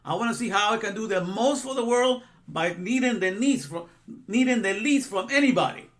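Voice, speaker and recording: A man's voice: high voice, expressive, fairly quick, fairly bright tone. A man in his sixties, from Guatemala, giving an informal religious lesson in a rambling way with many asides and repeated phrases. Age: 60s. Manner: rambling, repeats himself, frequent "you know". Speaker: religious teacher.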